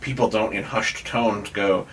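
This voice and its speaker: A man's voice: fairly low pitch, fairly even intonation, a little slow, fairly bright tone, a little hiss or hum. A man with an American accent hosting a podcast in casual, rambling chat peppered with "like". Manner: informal, rambling, filler-heavy with "like". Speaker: podcast host.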